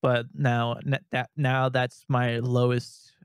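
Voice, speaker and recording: A young man from Canada chatting as a podcast co-host, rambling with many restarts and nervous laughs, with a fairly high-sounding voice. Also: flat delivery, takes it slowly, smooth, dry recording, studio quality. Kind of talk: casual podcast chat.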